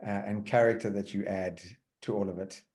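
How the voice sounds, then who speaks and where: A man's voice: fairly low pitch, steady tone, moderate pace, darkish tone. A man interviewing a guest in a casual chat, speaking in an appreciative way. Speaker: interviewer, host.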